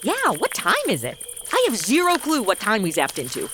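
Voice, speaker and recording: A woman's voice: mid-range voice, huge pitch swings, moderate pace, fairly bright tone, slight background noise. An actress playing a sassy, exasperated modern young woman with a blunt, snarky manner in a comedy audio drama. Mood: grumbling.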